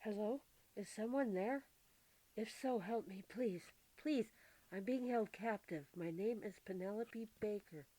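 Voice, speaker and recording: A woman playing a polite captive heiress in a radio detective drama, speaking earnestly and in a measured way. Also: medium pitch, dark tone, lightly acted, rough recording. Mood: pleading, quiet.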